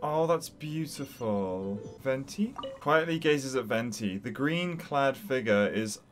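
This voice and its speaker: A man's voice: medium pitch, lively intonation, slow pace, faint music behind. A young man giving casual game reaction commentary, earnest and enthusiastic, recorded close at a desk. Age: young adult. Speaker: gaming YouTuber.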